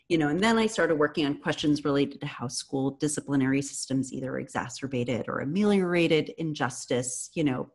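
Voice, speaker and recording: A woman in her thirties speaks earnestly on an academic panel from her living room over a laptop webcam. Her voice sits low and has a husky edge. She leans on "you know" as she builds her points. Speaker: panelist, professor. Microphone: laptop webcam on a video call.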